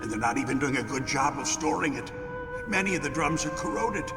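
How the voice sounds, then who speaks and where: A man's voice: mid-range voice, lively intonation, fairly quick, lightly acted. Guy playing pompous hurt weapons exec, video game cutscene, evasive, lots of echo, music behind. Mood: explanatory, self-justifying. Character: wounded, evasive, self-important male arms company executive. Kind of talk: dramatic video game voice acting. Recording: echoey hall with background music.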